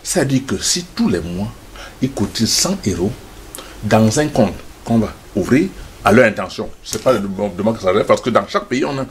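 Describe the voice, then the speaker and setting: A man's voice: fairly low pitch, animated, fairly quick, a little hiss or hum. An older man speaking as a studio guest in a passionate debate, emphatic and stammering on repeated words.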